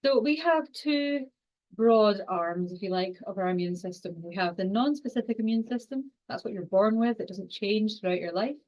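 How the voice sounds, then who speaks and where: A woman in her forties with a strong Scottish accent, giving an online science lecture quickly, with frequent fillers and restarts. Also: medium pitch, darkish tone, muffled recording. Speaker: university lecturer and exercise scientist.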